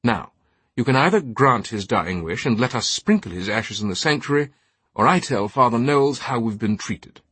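A man's voice: mid-range voice, expressive, normal speed, fairly bright tone, breathy edge, no room sound. A man voicing an imperious, scheming older British knight with a haughty upper-class accent, in an audiobook. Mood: indignant. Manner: pompous, haughty.

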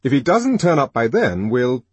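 A man voicing a brisk, decisive young man who speaks with urgency. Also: mid-range voice, sing-song, breathy edge, no echo, voice pushed up. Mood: urgent.

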